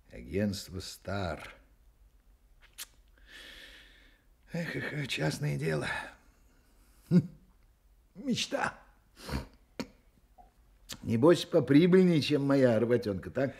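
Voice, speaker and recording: An actor in a radio play voicing a gossipy, cynical, hard-drinking hotel detective who mutters, chuckles and rambles. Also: male voice, mid-range voice, very animated, slow pace, creaky, pauses often, darkish tone, heavily acted. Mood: knowing, muttering. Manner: rambling, muttering, chuckling, full of interjections and tag questions. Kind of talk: Soviet-style radio play acting.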